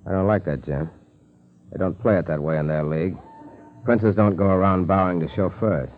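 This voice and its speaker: Through a tinny 1940s radio recording comes an actor as an American FBI agent, low in pitch. He is watchful and businesslike, in the style of an old radio play. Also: normal speed, close to an everyday voice.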